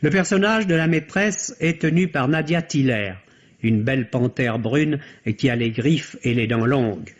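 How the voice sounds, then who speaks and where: A man's voice: mid-range voice, a little slow. A man giving an articulate, dryly ironic film review in a radio column. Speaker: film critic. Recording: dull vintage radio archive recording.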